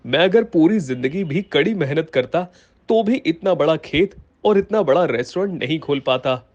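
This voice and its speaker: A man plays a humble, good-hearted village husband in a children's moral cartoon. His voice sits a little high and sounds earnest, recorded dry. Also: expressive, moderate pace, darkish tone, no room sound, highs cut off, lightly acted. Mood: grateful, earnest.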